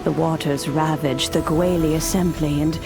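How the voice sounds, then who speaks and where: Serene immortal guardian, creaky low voice, expressive melody, formal solemn storytelling, game cutscene, soft music behind. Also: female voice, moderate pace. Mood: grave storytelling. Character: serene immortal female adeptus, wise, reverent storyteller. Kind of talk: dramatic video game cutscene narration. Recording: background music under voice.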